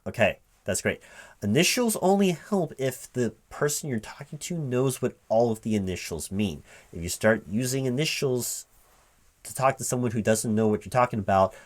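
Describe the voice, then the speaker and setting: A man speaking aloud in a one-sided ASMR job interview roleplay as a recruiting manager, halting and repeating himself as if puzzled. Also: medium pitch, lively intonation. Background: computer keyboard typing.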